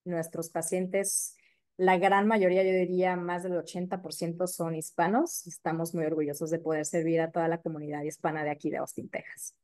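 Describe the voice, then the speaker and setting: A woman in her thirties from Mexico City with a Mexico City accent, a periodontist guest chatting casually and filling pauses with "este" and "eh". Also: on the low side, flat delivery. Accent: Mexico City Spanish. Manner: warm, chatty, many fillers like "este" and "eh". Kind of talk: casual interview chat.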